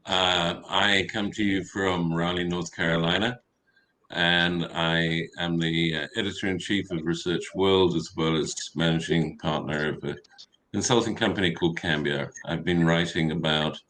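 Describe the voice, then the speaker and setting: A man introducing himself as a panel guest on an online call, measured and matter-of-fact. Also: low-pitched, steady tone, pushed and bright. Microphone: video call.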